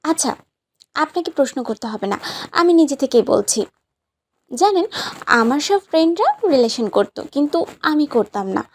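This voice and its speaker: Woman acting a high-voiced, playful young bride. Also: lively intonation, normal speed, pauses often, slight background noise. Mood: dreamy, coaxing. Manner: pouty, teasing, insistent. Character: playful, demanding young new bride, sweetly bossy. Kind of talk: romantic audio story acting.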